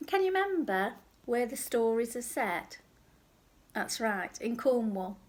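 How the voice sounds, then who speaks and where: A woman reading a children's picture book aloud as a teacher, in a measured, expressive storytelling manner. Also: a little high. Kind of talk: storybook read-aloud, scripted narration.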